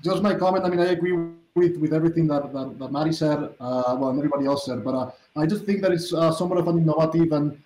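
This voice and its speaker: Man, lightly high pitch, reverberant room recording, informal panel guest with a stop-start, repetitive delivery. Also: a little mellow, a little hiss or hum. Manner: halting, repeats words. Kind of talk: casual discussion. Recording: echoey room sound.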